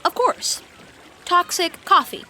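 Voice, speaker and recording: A woman's voice: very high voice, lively intonation, slow pace, pushed and bright, a little hiss or hum, heavily acted. A woman playing a curious, easily amazed young friend in an audio drama who asks question after question.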